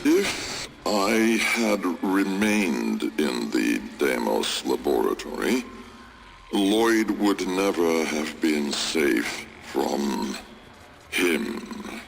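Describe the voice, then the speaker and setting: An actor playing a grave, regretful, secretive older scientist in a video game, speaking in a gravelly, solemn, measured way. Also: male voice, big pitch swings, slight background noise, full character voice. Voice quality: deep, gravelly. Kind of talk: dramatic video game monologue.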